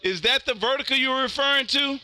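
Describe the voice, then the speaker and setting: Exuberant high-pitched American man, very bright. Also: brisk, no echo, rough recording. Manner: exuberant, loud, animated, slangy. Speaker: amateur radio operator hosting a live stream. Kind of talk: casual ham radio chat. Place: home radio room.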